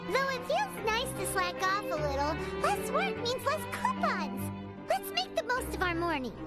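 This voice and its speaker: Game fairy companion: very high, squeaky, chatty and jumpy, animated delivery. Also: female voice, normal speed, heavily acted. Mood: cheerful. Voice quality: squeaky, childlike. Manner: exclamatory, refers to self in third person. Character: tiny childlike floating fairy companion, excitable, cowardly, chatty. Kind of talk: video game voice acting, cartoonish. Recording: loud game music behind voice. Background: game music.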